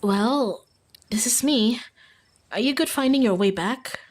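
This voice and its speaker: A woman's voice: on the high side, measured pace. A woman voicing an upbeat, friendly and slightly ditzy young small-town woman in a visual novel.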